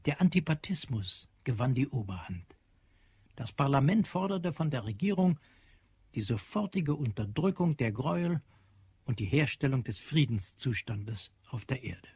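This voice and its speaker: Slightly husky male narrator, dry sound. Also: medium pitch, sing-song, normal speed, a little mellow, no echo. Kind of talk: dramatic scripted literary narration.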